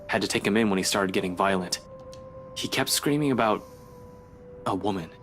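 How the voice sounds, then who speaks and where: A man's voice: fairly low pitch, normal speed, a bit bright, soft background music. An actor playing a blunt, matter-of-fact male police officer. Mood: grim.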